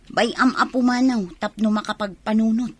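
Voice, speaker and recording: An actress playing a self-centred, complaining sister in a radio drama, dismissive and full of excuses. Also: female voice, on the high side, fairly even intonation, normal speed, a little mellow, slightly rough. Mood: peevish. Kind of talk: Filipino radio drama acting.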